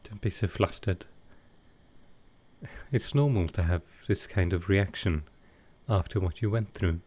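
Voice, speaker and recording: Guy acting as a soft-hearted vampire lord, really low voice, takes his time, tender and kinda hesitant, audio roleplay. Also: measured pace. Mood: tender, reassuring. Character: gentle, grieving male vampire lord comforting a guest.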